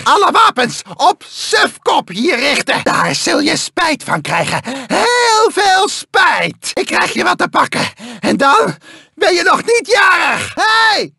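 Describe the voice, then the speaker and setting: A manic cyborg mad scientist from a cartoon video game, whiny and vengeful. The voice is shrill and very high, swooping wildly from mutters to shrieks. It has a gravelly crackle and theatrical villainy. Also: male voice, pushed and bright, no echo, clean studio sound, exaggerated voice.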